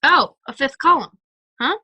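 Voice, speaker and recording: Indulgent mother, acted by a woman, radio play. Bright, slightly high, close and dry, patient and amused. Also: animated, measured pace, no room sound, heavily acted. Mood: curious. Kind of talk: audio drama acting.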